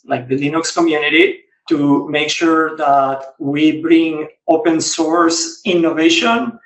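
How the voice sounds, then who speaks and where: A middle-aged man from Spain, a guest on a podcast interview, explaining things in a relaxed way with frequent restarts. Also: a little high, reverberant, far from the mic, rough recording. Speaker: guest, regional software executive.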